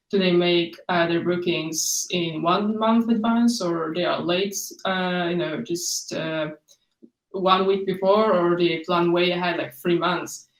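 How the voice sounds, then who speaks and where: A woman student answering questions on a video call, explaining informally in a hesitant, searching way. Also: on the low side. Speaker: student presenter taking questions. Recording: echoey room, distant microphone.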